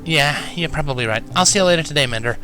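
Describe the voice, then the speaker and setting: A bookish young female pony from a cartoon story, acted out by a man. The pitch sits a little high and moves freely. The manner is earnest and explanatory. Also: lively intonation, fast, pushed and bright, soft background music. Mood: tired, resigned. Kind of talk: cartoon fan fiction character reading.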